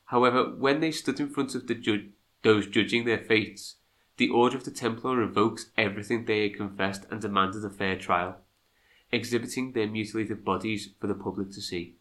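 A man's voice: fairly low pitch, fairly even intonation, moderate pace, fairly bright tone. A man with a congested, nasal voice from a cold, co-hosting a podcast and moving between scripted history narration and chatty asides. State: has a cold. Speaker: podcast co-host.

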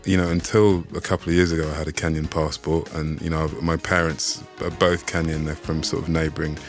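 A man who grew up in Austria, speaking as an interview guest, reflective and halting with many restarts. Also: extremely low pitch, flat delivery, faint music behind. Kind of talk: casual conversational interview.

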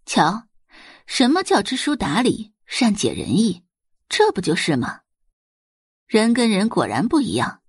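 A woman voicing a snobbish middle-aged female housekeeper in an audio drama, flattering and tattling with exclamations. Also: mid-range voice, unhurried, long pauses, slightly rough, dry recording, studio quality. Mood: fawning.

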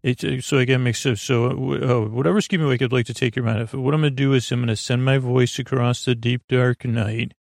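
A man with a creaky, lulling voice hosting a sleep podcast, meandering through halting, tangential bedtime talk. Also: mid-range voice, fairly even intonation, no room sound, clean studio sound. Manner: meandering, tangential, halting, self-deprecating nonsense. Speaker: podcast host. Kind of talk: rambling bedtime monologue to help listeners sleep.

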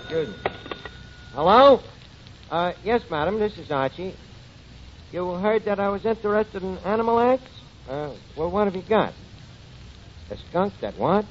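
Scheming tavern manager, high lively voice, glib, self-important, bad grammar, 1940s radio comedy, muffled sound. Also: male voice, a little slow, long pauses, slight background noise. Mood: dryly offhand. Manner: glib, mangles grammar and words. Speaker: star of a radio situation comedy.

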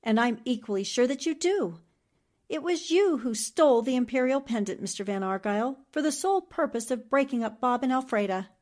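An actress playing a shrewd, confident newspaperwoman sleuth in a 1940s-style radio mystery, deliberate and probing. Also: on the high side, animated, darkish tone, lightly acted. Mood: accusing.

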